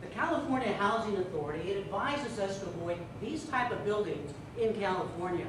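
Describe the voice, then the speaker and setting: A man giving a humorous storytelling speech to a live club audience, theatrical, with drawn-out punchlines. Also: sits high, lively intonation. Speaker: contest speaker telling a humorous tale. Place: meeting room before an audience. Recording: echoey room from far off, loud noise.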